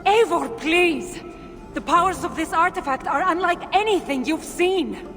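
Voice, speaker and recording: An actress playing a confident, knowing adventurer in a video game cutscene, with a Greek accent and an earnest, expressive delivery. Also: female voice, extremely high pitch, measured pace. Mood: urgent, pleading. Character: confident, knowing adult woman adventurer hunting ancient artifacts. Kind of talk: dramatic game cutscene acting. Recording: game audio with music behind.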